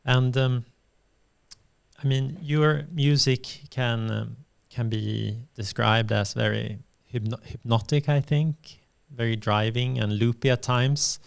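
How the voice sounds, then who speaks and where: A man hosting a podcast interview, asking measured questions and giving constant soft backchannels. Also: mid-range voice, steady tone, gravelly, clear, resonant tone. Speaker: podcast host.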